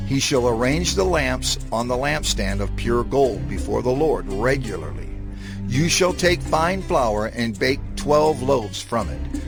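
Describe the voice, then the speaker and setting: A man giving a solemn, measured, declarative reading of scripture in a dramatized production, with music behind him. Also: mid-range voice, gravelly. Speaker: scripture reader. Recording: music under the voice.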